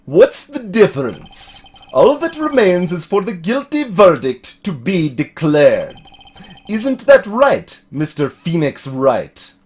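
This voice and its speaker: A man voicing an arrogant older prosecutor in a video game, with pompous, menacing, drawn-out speech. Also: high voice, sing-song, a little mellow, slightly rough, full character voice. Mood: haughty, gloating. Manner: slow, drawn-out, pompous delivery.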